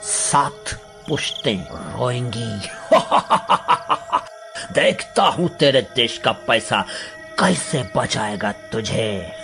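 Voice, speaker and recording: A man voicing the vengeful, gloating ghost of an army officer in an animated story, with drawn-out menacing speech. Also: mid-range voice, vocal fry, soft background music, heavily acted. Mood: vengeful menace. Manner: slow, drawn-out menacing speech with evil laughter. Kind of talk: animated Hindi story voice acting.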